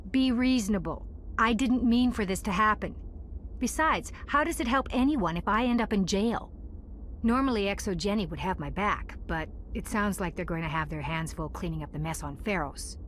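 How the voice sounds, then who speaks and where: Corrupt scientist played by a woman for a game: female, medium pitch, evasive, persuasive, faint background ambience. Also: expressive, normal speed, slight background noise, lightly acted. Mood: pleading, bargaining. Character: guilty, self-serving woman scientist, pleading and evasive. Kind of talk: video game voice acting.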